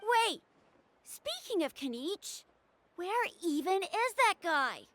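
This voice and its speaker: A sensitive young girl speaks in a very high, bright, tense voice. Her tone swings widely, indignant and pleading in turn. Faint game music sits behind. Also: big pitch swings, slow, no room sound, heavily acted. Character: sensitive young village girl, loyal and idealistic. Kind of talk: video game dub.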